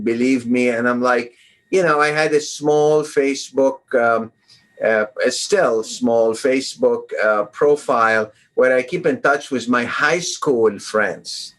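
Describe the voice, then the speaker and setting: A middle-aged man chats as an interview guest over a video call. His voice sits at an ordinary, middle pitch, and he wanders through his answers with plenty of fillers and restarts. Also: fairly bright tone. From Lebanon. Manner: rambling, with many fillers and restarts. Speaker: guest oncologist. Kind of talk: casual interview chat.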